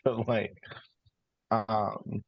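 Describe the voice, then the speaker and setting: A man's voice: fairly low pitch, level tone, very slow, creaky, slightly rough, lo-fi sound. A man playing a tabletop role-playing game, describing his character in a casual, tentative, wordy way.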